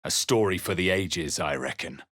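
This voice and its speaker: A man voicing a wary, wry adventurer hero in a video game, with a husky voice and terse, theatrical delivery. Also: deep voice, moderate pace, fairly bright tone, no echo, studio quality. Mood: watchful. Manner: terse, theatrical, emphatic on incantations. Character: young-adult male adventurer hero, alert, wry, chanting spells. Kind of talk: video game character barks and spell incantations.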